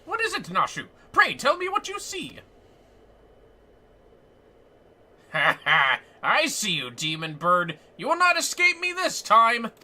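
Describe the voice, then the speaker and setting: A man voicing a pompous, bombastic gentleman inspector from a video game, with grandiose, old-fashioned, theatrical diction. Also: very high voice, wildly swooping pitch, normal speed, voice pushed up, exaggerated voice. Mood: dramatic, bombastic. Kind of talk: video game dialogue read aloud.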